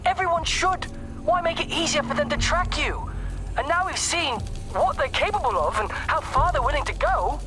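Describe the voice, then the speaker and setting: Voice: high-pitched, animated, moderate pace, over music. An actor playing a paranoid, insistent conspiracy theorist calling in to a radio show in a video game. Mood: wary. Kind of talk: radio call-in rant.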